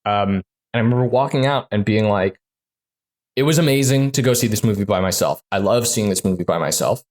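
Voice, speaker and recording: A man who designs games, talking casually in an interview, full of fillers and quick restarts. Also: lowish voice, darkish tone. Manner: chatty, many fillers and restarts. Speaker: game designer guest.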